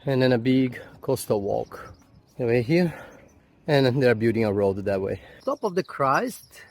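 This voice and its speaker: A man's voice: mid-range voice, lively intonation, takes it slowly. A man from Brazil with a Brazilian accent, talking casually to locals and to the camera while walking through a city. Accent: Brazilian Portuguese. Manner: chatty, asks locals simple questions. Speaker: travel vlogger. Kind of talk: casual travel vlog narration. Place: outdoors on city streets. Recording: outdoor street noise. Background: street and traffic noise.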